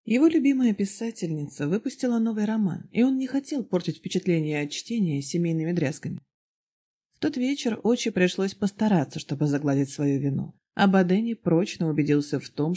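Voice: mid-range voice, a little fast, dark tone, slightly rough. A narrator reading a story from a script, as in an audiobook.

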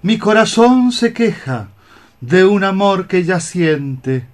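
A melancholy young cockroach in love in radio theatre. The voice is fairly high, tender and lyrical, declaiming verse. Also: male voice, expressive, slow. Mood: yearning. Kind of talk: poetic radio theatre acting.

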